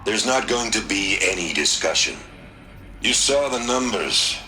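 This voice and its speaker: A firm, commanding official. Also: male voice, lowish voice, expressive, measured pace, bright, forceful tone, soft background music, full character voice. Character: authoritative man in a crisis meeting. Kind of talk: video game cutscene acting.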